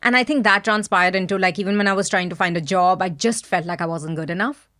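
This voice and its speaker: A woman's voice: fairly low pitch, fast talker. A woman from India with an Indian accent, speaking candidly and thoughtfully as a podcast guest. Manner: soft-spoken, candid, thoughtful. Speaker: guest, business founder. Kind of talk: reflective podcast interview.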